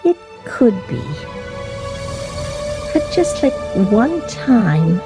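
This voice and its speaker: A woman's voice: on the high side, big pitch swings, very slow, vocal fry, over music, heavily acted. An actress playing an earnest, self-conscious woman in a radio play, with a hesitant way of talking.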